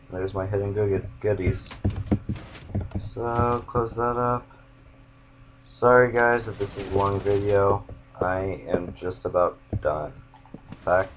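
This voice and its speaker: Low, slow, monotone young man. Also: a little hiss or hum. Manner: rambling, self-interrupting. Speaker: gamer showing his build. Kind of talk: casual game walkthrough.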